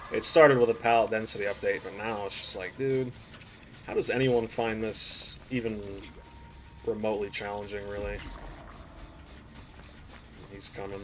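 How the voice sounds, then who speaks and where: A man with a raspy, hoarse voice from a recent cold, chatting casually to his viewers while playing a game on a live stream. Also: lowish voice, fairly even intonation, unhurried, pauses often, fairly bright tone, slight background noise. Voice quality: raspy throat. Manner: laid-back, chatty, slangy asides to chat. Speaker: gaming streamer. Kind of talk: casual live gameplay commentary.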